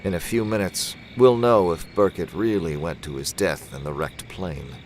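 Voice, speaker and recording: Guy acting deep voiced old-time radio mystery hero, calm n commanding, hypnotist detective type, noisy background. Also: normal speed, natural voice. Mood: determined, confiding. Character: calm, shrewd male crime-fighting detective with hypnotic powers. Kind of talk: 1940s-style radio drama acting.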